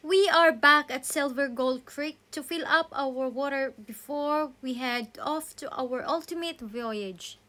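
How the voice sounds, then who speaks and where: Super high pitched woman vlogging from a sailboat, gets excited easy, wind noise all over. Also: unhurried, hardly any pauses. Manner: excitable, frequent loud exclamations. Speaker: sailing vlogger host. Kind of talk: casual vlog narration on a boat. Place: outdoors on a sailboat at sea. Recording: wind noise on an outdoor mic. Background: wind and boat noise.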